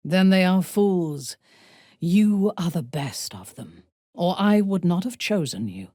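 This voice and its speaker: Ruthless female drow villain in a fantasy game: slow, breathy delivery, cold seductive menace, haughty command. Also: fairly low pitch, expressive, dark tone, no room sound, clean studio sound. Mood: haughty, menacing. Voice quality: husky, breathy. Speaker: video game voice actor. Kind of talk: dramatic video game character dialogue.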